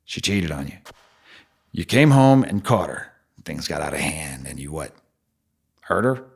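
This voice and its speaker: An actor playing a blunt, needling, foul-mouthed streetwise stranger who lives in the subway, in an audio drama. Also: male voice, rumbling low, big pitch swings, measured pace, vocal fry, breathy edge, full character voice. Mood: knowing, menacing. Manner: blunt, profane, probing.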